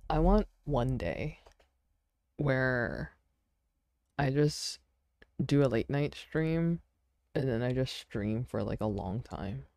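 A woman streamer chatting casually with friends while she draws, relaxed and laughing often with lots of fillers. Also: very deep voice, very slow, pauses often, a little hiss or hum. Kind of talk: casual chat while drawing.

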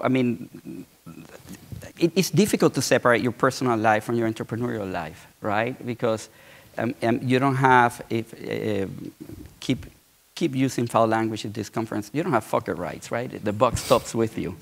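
A man in his forties from Puerto Rico speaks on an auditorium stage through a lapel mic. His voice has a gravelly, fry-edged texture at a middle pitch. He talks loosely, with frequent restarts and repeated words. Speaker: guest entrepreneur. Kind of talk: conference fireside chat.

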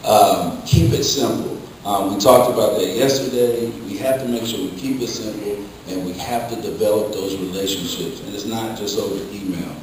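A man's voice: fairly low pitch, moderate pace, few pauses, darkish tone. A man giving practical advice as a panelist at a conference. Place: hotel conference ballroom, recorded from the audience. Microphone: distant camera picking up the room sound. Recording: distant, very echoey room recording, faint noise.

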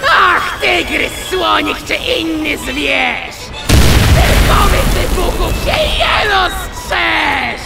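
Woman voicing a shrill manic young tomcat showman. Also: lively intonation, drawn-out words, gravelly, heavily acted. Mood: manic glee. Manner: sing-song declaiming, exuberant. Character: manic young male cat, gleeful theatrical firebug. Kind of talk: animated film dub, theatrical rhyming. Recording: loud music behind the voice.

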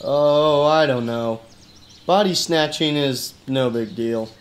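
An actor voicing a skeptical, hard-boiled private eye with dry, deadpan delivery in a noir detective radio play. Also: male voice, medium pitch, slow pace, close to an everyday voice. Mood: dry, probing. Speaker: detective and narrator.

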